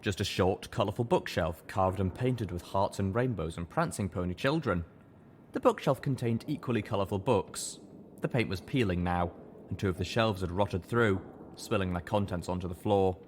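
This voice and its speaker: A man reading a first-person story aloud as an expressive narrator, with lively delivery. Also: sits low, moderate pace, a little hiss or hum. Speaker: narrator of an audiobook fan fiction reading.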